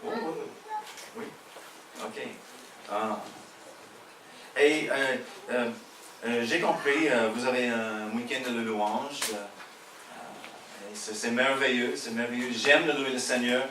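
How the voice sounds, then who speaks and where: A man with an American accent mixed with a Québécois French one, a pastor preaching a sermon in halting French with many fillers and light jokes. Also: medium pitch, fairly even intonation, background noise. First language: English. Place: church hall. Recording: echoey hall heard from a distance.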